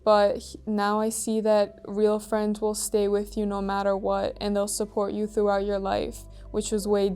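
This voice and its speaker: A teenage girl from Iran answering interview questions in an earnest, soft-spoken way, with frequent "like" and "um" fillers. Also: medium pitch, smooth. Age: teens.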